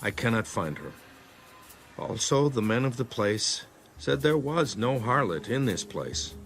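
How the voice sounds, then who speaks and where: A man's voice: medium pitch, very animated, a little slow, soft background music. A man playing an earnest errand-runner in a dramatized audio Bible, speaking expressively. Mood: puzzled, earnest. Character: Adullamite friend, earnest male errand-runner.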